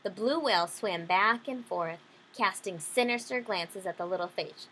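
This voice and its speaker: A woman's voice: mid-range voice, moderate pace, fairly bright tone, slight background noise, rough recording. A woman reading a children's storybook aloud, adding simple explanations for young listeners. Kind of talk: children's picture-book reading with simple asides.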